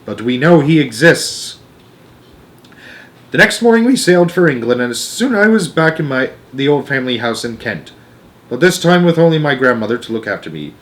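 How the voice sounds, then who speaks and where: A man narrating a children's novel aloud in an expressive storytelling style. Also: medium pitch, brisk.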